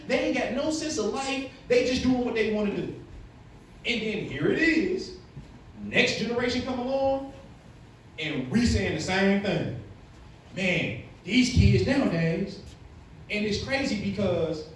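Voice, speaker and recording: A man in his late thirties giving a blunt, candid talk to young people, stressing points with rhetorical questions. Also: extremely high pitch. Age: late 30s. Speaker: motivational speaker to youth. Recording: very echoey room, far from mic, faint noise.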